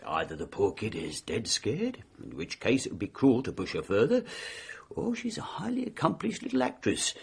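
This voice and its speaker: Gravelly, fatherly British police superintendent. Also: mid-range voice, huge pitch swings, normal speed, heavily acted. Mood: frank. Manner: fatherly, probing, understated, with hesitant lead-ins. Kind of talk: radio drama acting.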